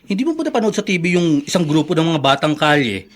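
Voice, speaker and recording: Wary, higher-voiced skeptic. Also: male voice, very animated, fast. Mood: wary, warning. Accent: Filipino. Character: suspicious, cautioning man, distrustful of street kids. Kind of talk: Filipino radio drama acting.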